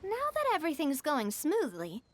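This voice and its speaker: High, lively young mentor. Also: female voice, moderate pace, a little hiss or hum. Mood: instructive, upbeat. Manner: cheerful, reassuring, articulate. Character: upbeat, encouraging young businesswoman and park mentor. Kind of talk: video game voice acting.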